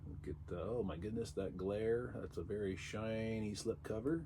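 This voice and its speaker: A man with a low, gravelly voice, thick with congestion because he is under the weather. He rambles casually through an unboxing and drops dry little asides. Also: normal speed, noisy background. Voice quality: raspy. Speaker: vlog host.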